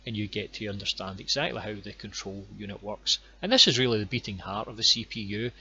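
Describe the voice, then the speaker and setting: A Scottish man guiding viewers through his online course with plain, unhurried explanations and a few ums and repeated words. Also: on the low side, sharp tone, husky, highs cut off. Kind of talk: screen-recorded course walkthrough.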